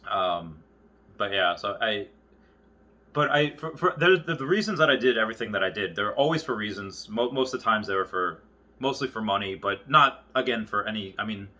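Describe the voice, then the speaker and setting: A man in his early thirties talking casually and off the cuff to viewers, rambling and often halting to circle back on his thoughts. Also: lowish voice, expressive, bright, forceful tone. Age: early 30s. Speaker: YouTuber. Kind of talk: casual unscripted monologue to viewers.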